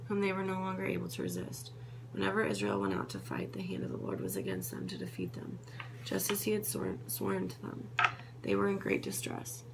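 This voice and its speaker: A woman's voice: lowish voice, creaky. A woman reading scripture aloud, sometimes stumbling over names and correcting herself. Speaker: Bible reader. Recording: noisy with a steady hum.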